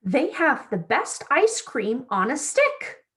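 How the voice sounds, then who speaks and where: A woman's voice: high-pitched, very animated, takes it slowly, fairly bright tone, heavily acted. A woman voicing a friendly, welcoming little storybook character with a sing-song delivery.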